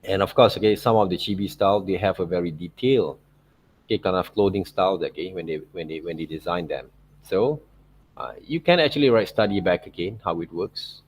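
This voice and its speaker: A man narrating an informal art software tutorial as a teacher, in loosely phrased non-native English, often checking in with short tag words. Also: deep voice, fairly quick, husky. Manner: repeats check words like okay and right.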